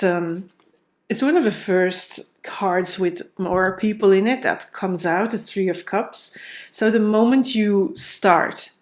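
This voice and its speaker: A woman with a cold and a slightly nasal voice, thinking aloud as she gives a tarot card reading, with many fillers and restarts. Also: medium pitch. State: stuffy nose from a cold. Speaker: tarot reader.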